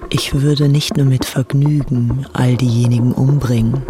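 A woman's voice: very deep voice, steady tone, measured pace, background noise. Adoring, fanatical young woman devotee. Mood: rapturous, fanatical. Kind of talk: radio play acting.

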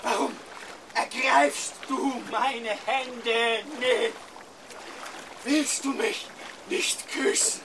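A grandiose, raving king, slow and theatrical. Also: extremely high pitch, fairly even intonation, background noise, full character voice. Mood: rapturous, yearning. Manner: declamatory, exalted. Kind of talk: German radio play acting.

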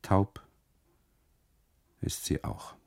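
A man voicing a dry, unsmiling custodian in a radio monologue, curt, dismissive and deadpan. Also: drawn-out words. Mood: deadpan serious.

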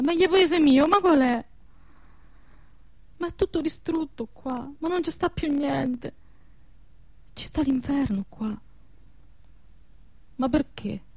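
A woman's voice: high-pitched, dry recording, lightly acted. An actress playing an anguished, protective peasant woman amid war ruins in a radio play, plaintive and insistent, with a central-southern Italian dialect. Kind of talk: emotional radio theatre acting in dialect.

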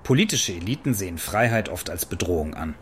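An actor playing a brash, earthy Berlin partygoer in a radio play, with a Berlin accent and colloquial, sassy delivery. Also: male voice, low-pitched, expressive, fairly quick, a little hiss or hum. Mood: declamatory. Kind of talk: German radio play acting.

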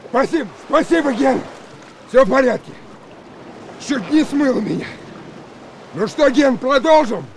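An actor playing a brave, earnest young submarine lieutenant who narrates and speaks in a radio drama. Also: male voice, very high voice, expressive, a little slow, gravelly, pauses often, a little hiss or hum, full character voice. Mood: urgent, shouting.

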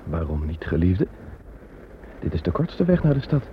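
A man's voice: deep voice, sing-song, moderate pace. An actor in a radio play playing a loving, reassuring king. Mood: concerned. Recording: dull old radio recording, faint noise.

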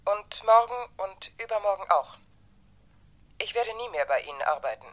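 A woman's voice: medium pitch, lively intonation, moderate pace. A muffled telephone voice in a crime radio play: a cleaning woman, curt and resolute. She speaks hurriedly and evasively through the dull line. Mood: curt, uneasy. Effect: telephone voice filter. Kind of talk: German crime radio drama acting.

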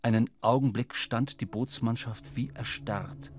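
An actor playing a sombre sailor who narrates a shipwreck in a measured, dramatic literary radio play. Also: male voice, mid-range voice, fairly even intonation, close to an everyday voice. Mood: grave, dramatic.

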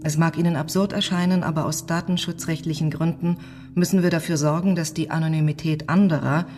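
An actress playing a restrained archive official in a radio drama, speaking in a measured, bureaucratic manner. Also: female voice, deep voice, steady tone, a little mellow, soft background music, natural voice.

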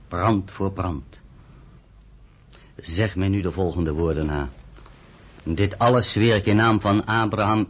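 A stern resistance commander in a radio play. The voice is very deep and declaims solemnly, each phrase measured and weighty. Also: male voice, husky. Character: stern male underground field commander administering an oath. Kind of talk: radio drama acting.

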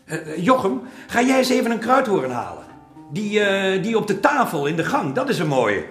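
An actor playing an exacting master painter in a historical radio drama, chiding his apprentice in an unhurried way with hesitant pauses. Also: sits high, big pitch swings, fairly bright tone. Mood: instructing. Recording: echoey room, music underneath.

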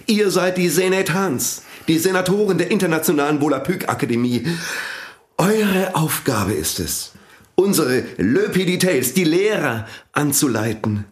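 A zealous, pompous priest holds forth like a prophet, played by a man. His voice sits a touch high and swings widely as he preaches. The room around him rings with echo. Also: animated, moderate pace, lightly acted. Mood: domineering. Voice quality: pompous, preaching, sweeping pitch. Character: domineering, zealous male priest-inventor. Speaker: priest who invented a world language. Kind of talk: radio play acting. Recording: echoey studio radio play.

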